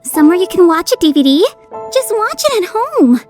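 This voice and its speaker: An excitable anime schoolgirl with an extremely high voice. She is proud and emphatic, with faint music underneath. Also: fairly quick. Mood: haughty, exasperated. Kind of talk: anime fandub radio play acting.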